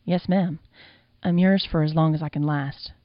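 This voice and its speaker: A woman's voice: low voice, fairly even intonation, moderate pace, no echo, dull audio, natural voice. A woman voicing an eager, cocky young man in plain, bold retorts.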